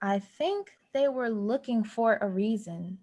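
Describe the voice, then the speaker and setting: An actress playing an anxious, plainspoken young building employee in a naturalistic play reading. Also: female voice, fairly high pitch, expressive, unhurried, a little mellow, muffled recording. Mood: worried, resigned. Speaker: actor in a staged play reading. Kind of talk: naturalistic stage dialogue reading.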